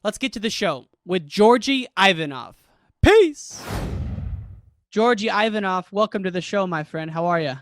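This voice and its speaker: Upbeat podcast host man, smooth voice. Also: sits high, lively intonation, normal speed, no echo, studio quality. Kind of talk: podcast interview.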